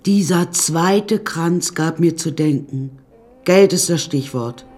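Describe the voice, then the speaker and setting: A woman's voice: sits low, slow, a little mellow, soft background music. An actress playing a wry woman who recounts her death-strewn childhood in a radio play, dry and confiding. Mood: darkly comic. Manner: dry, darkly comic, confiding.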